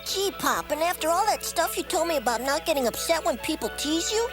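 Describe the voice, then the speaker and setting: A woman's voice: sits high, lively intonation, fairly quick. A woman voicing an earnest, innocent little hedgehog boy in a cartoon, eager and childlike. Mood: puzzled. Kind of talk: animated cartoon voice acting. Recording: music behind the voice.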